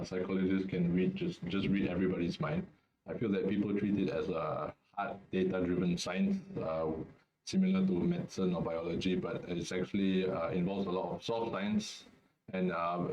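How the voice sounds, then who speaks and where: Low-voiced young man on an echoey call. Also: darkish tone, muffled recording, slight background noise. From Singapore. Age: young adult. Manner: hesitant, many fillers and restarts. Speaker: student webinar host. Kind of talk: casual webinar discussion. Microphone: video call.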